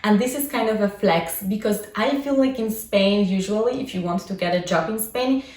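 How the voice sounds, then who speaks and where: A woman's voice: medium pitch, a little mellow. A young adult woman whose first language is Polish, talking casually about her own life as a vlogger and coach, with many fillers like "like" and "you know". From Poland. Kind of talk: casual personal vlog. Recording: echoey room, mic at a distance.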